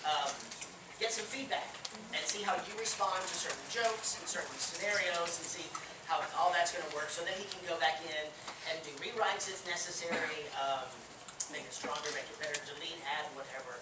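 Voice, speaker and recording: Woman theatre director, informal jokey curtain speech, lowish voice, roomy and noisy distant recording. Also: brisk. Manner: chatty, jokey. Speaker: theatre artistic director giving welcome speech. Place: theatre stage before a live audience. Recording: echoey, noisy theatre hall from far off.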